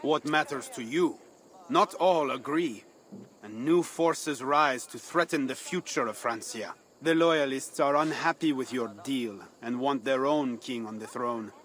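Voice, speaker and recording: An actor playing a calm, knowing male agent of a secret order in a game cutscene, speaking dryly and matter-of-factly. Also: mid-range voice, unhurried, close to an everyday voice. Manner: dry and matter-of-fact.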